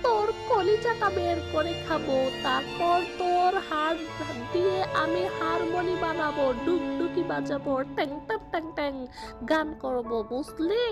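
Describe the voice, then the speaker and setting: A woman's voice: very high voice, moderate pace, loud music behind the voice. A woman voicing a menacing, taunting female tree ghost who cackles and gloats in a children's horror cartoon. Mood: menacing, gloating.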